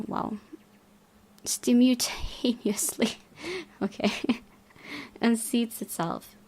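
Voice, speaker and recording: A woman's voice: medium pitch, gravelly, a little mellow. A woman reading a visual novel aloud as a gaming host, dramatic in delivery and sometimes stumbling, over guitar music. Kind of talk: expressive read-aloud narration of visual novel.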